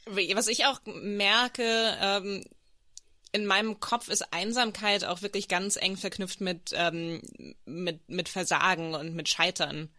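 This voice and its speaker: Bright, crisp-edged woman in close, dry sound. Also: mid-range voice, fairly even intonation, slow pace, dry recording. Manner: informal, searching for words. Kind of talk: personal, reflective podcast conversation.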